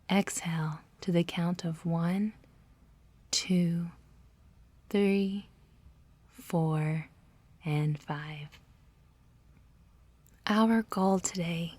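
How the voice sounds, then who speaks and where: Slow, soft-spoken woman guiding meditation. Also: fairly low pitch, dry recording. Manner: soothing, measured, instructing with pauses. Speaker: guided meditation narrator. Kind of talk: scripted guided meditation.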